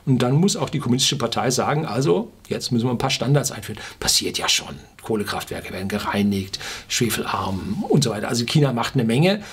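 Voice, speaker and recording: A man in his sixties from the Ruhr in Germany talks as a video blogger explaining his opinions. His voice is markedly husky and sits at an average pitch. He speaks informally and with emphasis, posing questions and adding clipped asides. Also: expressive, fairly quick. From Ruhr area, Germany. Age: 60s. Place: small furnished room indoors.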